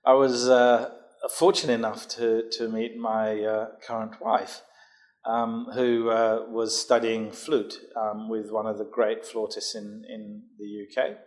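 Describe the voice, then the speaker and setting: Older man, unhurried and hesitant. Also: fairly low pitch, level tone, darkish tone. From Jamaica. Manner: measured, pauses often with ums and uhs. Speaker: guest. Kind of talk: podcast interview, recounting his career.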